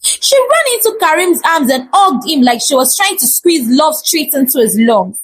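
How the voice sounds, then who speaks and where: A woman telling a scripted folktale in an expressive, theatrical and humorous storytelling style. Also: sits high, normal speed, slight background noise.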